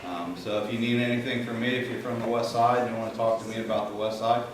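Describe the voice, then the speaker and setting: A man's voice: medium pitch, fairly even intonation, fast talker. A man speaking casually at a community meeting, explaining what a set of brochures cover. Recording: echoey room, distant mic, noisy.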